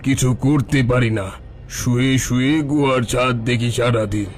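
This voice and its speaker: A bandit chief who rules the forest but is weary and unwell , acted by a man. His voice is medium in pitch and carries world-weary authority. Light music plays under this cartoon performance. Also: steady tone, moderate pace, close to an everyday voice. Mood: weary, brooding. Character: weary, ailing bandit chief, self-styled king of the jungle. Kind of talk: cartoon voice acting.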